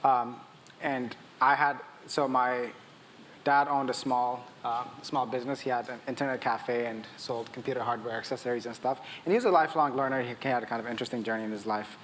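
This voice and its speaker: A man's voice: medium pitch, steady tone, brisk, fairly bright tone. Man from Ethiopia in a reverberant hall. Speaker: tech startup founder, panel guest. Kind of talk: conversational panel interview at a conference. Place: conference stage in a large hall. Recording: echoey hall with long reverb, audible noise.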